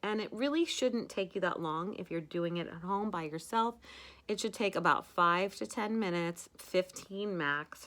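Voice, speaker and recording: A woman's voice: on the low side, fairly bright tone. A woman hosting a casual at-home makeup tutorial, chatty and rambling with many fillers.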